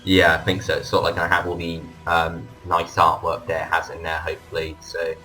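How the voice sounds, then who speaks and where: A man's voice: low-pitched, completely flat delivery, fairly quick, bright, forceful tone, faint music behind. A man hosting a casual fan discussion, with hesitant, hedging phrasing. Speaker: podcast host. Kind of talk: casual fan discussion of audio drama releases.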